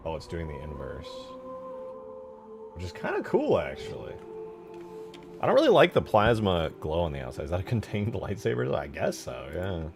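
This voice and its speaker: A man giving casual, slangy commentary as a game modder, muttering to himself as he thinks out loud while working. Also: deep voice, wildly swooping pitch, normal speed, creaky, long pauses, soft background music.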